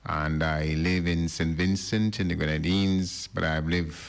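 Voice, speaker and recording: Middle-aged guy from barbados, really low voice, formal and polite, radio guest. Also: little pitch movement, measured pace, gravelly, smooth. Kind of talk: radio interview. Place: radio studio.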